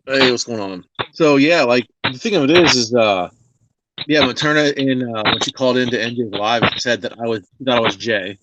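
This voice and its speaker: A man's voice: mid-range voice, moderate pace. A man who joins a livestream as a guest and speaks in a rambling, hesitant way. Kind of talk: casual livestream chat. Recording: muffled, very noisy call audio.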